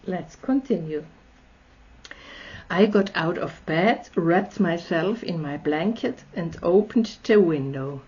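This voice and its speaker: A woman's voice: fairly low pitch, animated, slow, darkish tone. A woman from Austria with an Austrian accent, a German native speaker, hosting her channel and reading a story aloud with friendly, chatty asides. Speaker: channel host. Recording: slightly dull lo-fi audio.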